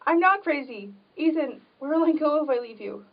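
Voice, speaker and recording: A woman's voice: sits high, expressive, a little fast. A woman playing a timid, devoted young woman in an amateur drama, earnest and pleading. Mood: desperate urging. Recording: dull, distant microphone.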